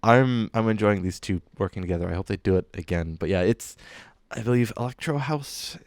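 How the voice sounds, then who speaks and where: A man's voice: lowish voice, fairly quick, a little mellow, dry recording, studio quality. A man co-hosting a podcast, presenting his picks in easygoing, approving casual chat and often repeating himself. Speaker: podcast co-host presenting fan music.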